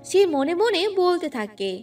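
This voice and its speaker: A woman's voice: extremely high pitch, big pitch swings, a little slow, darkish tone, recorded from a distance, soft background music. A woman reading a scripted fairy tale as its narrator.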